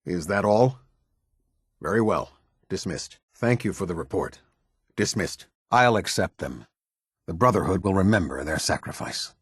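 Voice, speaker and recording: Deep, husky, stern military captain. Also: male voice, animated, unhurried, fairly bright tone, no echo, clean studio sound, heavily acted. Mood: curt, formal, then solemn, proud. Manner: clipped, commanding, formal military delivery. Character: stern male military captain, disciplined, exacting, loyal to his order. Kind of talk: video game voice lines.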